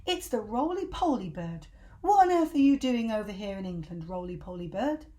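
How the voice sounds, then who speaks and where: A woman voicing excitable caged monkeys in a children's storybook, calling out with urgency. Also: medium pitch, normal speed, natural voice. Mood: astonished. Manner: urgent, exclamatory shouting. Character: frantic caged monkey family shouting warnings.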